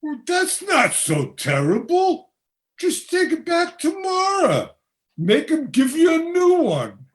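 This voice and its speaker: A tired, skeptical husband in a radio drama, acted by a woman. The delivery is very slow and plain-spoken, with lively swings in pitch and a grumbling, exasperated edge. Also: full character voice. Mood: reassuring, skeptical. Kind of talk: radio play acting. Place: home, over a video call.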